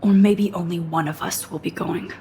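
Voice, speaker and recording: A woman voicing an earnest teenage photography student in a video game, soft and often half-whispered. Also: lowish voice, fairly even intonation, moderate pace, slight background noise, lightly acted. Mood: pointed. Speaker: game protagonist.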